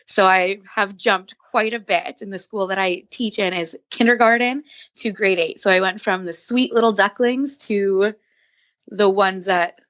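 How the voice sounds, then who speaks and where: A woman's voice: medium pitch, a little slow, no room sound, dull audio. Giggly young woman teacher. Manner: excited, giggly storytelling. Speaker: teacher, podcast guest. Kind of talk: casual interview chat.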